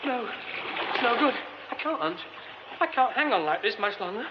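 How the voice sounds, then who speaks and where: A man's voice: very high-pitched, lively intonation, normal speed. An actor playing a young theatre man who mutters to himself wryly and stammers under strain. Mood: panicked. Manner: muttering to himself, wry, later frantic stammering. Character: young male theatre man exploring a derelict theatre. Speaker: lead character. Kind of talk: radio drama acting. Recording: dull old radio recording with hiss.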